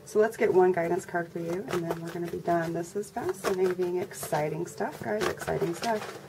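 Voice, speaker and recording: A congested woman giving a casual tarot reading to her viewers in a flat, monotone way. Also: moderate pace. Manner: monotone, low-energy delivery. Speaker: tarot reader. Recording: noisy recording with birds chirping. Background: birds and background noise.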